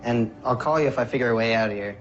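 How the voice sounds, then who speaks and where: An actor playing an easygoing, homesick young college student in naturalistic TV film dialogue, with music behind him. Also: male voice, mid-range voice, rapid-fire, natural voice. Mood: grateful. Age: young adult. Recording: dull band-limited sound, music behind.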